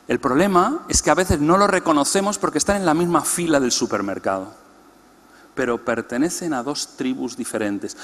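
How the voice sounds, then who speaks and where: A man giving an explanatory teaching talk to a church congress, putting questions to the audience. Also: medium pitch. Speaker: conference speaker. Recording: long hall reverb.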